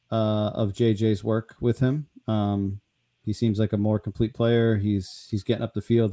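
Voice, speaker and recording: A man hosting a casual sports podcast, relaxed and full of "um"s as he steers the discussion. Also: mid-range voice. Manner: relaxed, filler-heavy, steers the talk. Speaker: podcast host.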